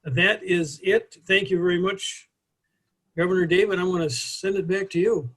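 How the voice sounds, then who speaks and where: A man's voice: high-pitched, fairly even intonation, moderate pace, fairly bright tone. A man giving a hesitant, filler-heavy project presentation over a video call.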